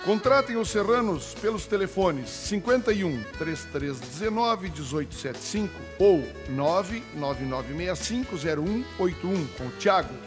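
A man hosts a radio music program with a southern Brazilian accent. His voice sits fairly high, and he reads links and sponsor copy in a courteous, formal, measured way. Light music plays beneath him. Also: animated. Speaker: radio music show host. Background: music under the voice.

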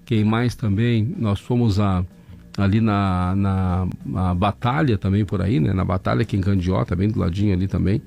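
Gravelly southern Brazilian radio host. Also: male voice, fairly low pitch, moderate pace. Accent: southern Brazilian, Rio Grande do Sul. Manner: chatty, folksy, addresses listeners warmly. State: hoarse from laryngitis and sinusitis. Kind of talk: casual radio chat reading listener messages. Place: radio studio.

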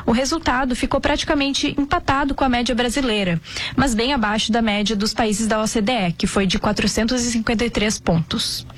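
A woman reads a radio news report in a measured, formal tone. Her colors the delivery, and her voice sits a little high.

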